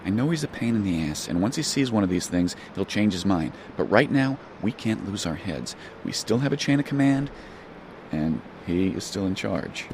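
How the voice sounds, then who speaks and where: Intense army sergeant, widely varying pitch. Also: male voice, sing-song, normal speed, darkish tone, a little hiss or hum. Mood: calming, firm. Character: male army sergeant, intense but level-headed peacemaker. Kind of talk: audio drama acting.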